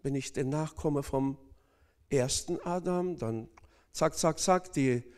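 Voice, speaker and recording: A man's voice: a little high, slow pace, darkish tone. A man from Northern Kazakhstan preaching a sermon, speaking hesitantly with many fillers and addressing the congregation directly.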